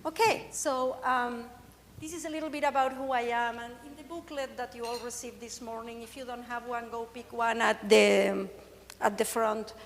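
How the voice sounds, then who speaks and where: A woman's voice: on the high side, fairly even intonation, normal speed. A woman in her forties from Argentina with an Argentine accent, Spanish as her first language, giving a candid, joking conference presentation as a patient speaker. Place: stage in a large hall. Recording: long hall reverb.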